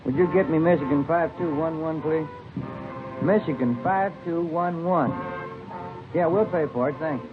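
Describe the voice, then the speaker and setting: A man's voice: moderate pace, close to an everyday voice. Man playing an easygoing American cop, tinny old radio. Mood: casual. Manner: low-key, conversational. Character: easygoing, polite male police detective sidekick. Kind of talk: 1950s radio drama acting. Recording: tinny vintage radio recording with music.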